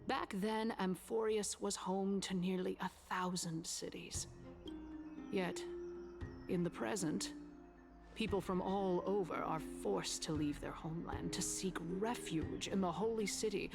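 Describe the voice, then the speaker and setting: A woman's voice: mid-range voice, unhurried, gravelly. A woman playing a proud, persuasive council elder in a fantasy game, speaking in formal, grandiose oratory. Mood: solemn, stately. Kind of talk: scripted fantasy game acting. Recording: game music behind the voice.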